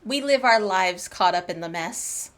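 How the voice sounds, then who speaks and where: A woman's voice: mid-range voice, expressive, a little slow, a crowd in the background. A woman streamer giving casual commentary while playing through a game. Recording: faint game music behind.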